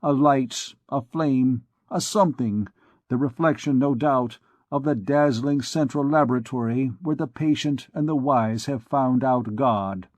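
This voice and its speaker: A gloomy, scholarly archdeacon given voice by a male narrator answers coldly and deliberately. The reading sits close and dry, with no echo. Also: mid-range voice, a little mellow, lightly acted. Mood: fervent. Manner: cold, measured, grave. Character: gloomy, aloof middle-aged archdeacon scholar. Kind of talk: audiobook character reading.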